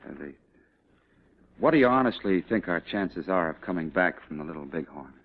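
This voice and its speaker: Deep-voiced deferential cavalry officer. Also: male voice, animated, normal speed. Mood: anxious, hesitant. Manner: hesitant, deferential. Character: respectful, hesitant cavalry officer, newly married and worried. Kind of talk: mid-20th-century American dramatic acting. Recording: dull, noisy old radio-era recording.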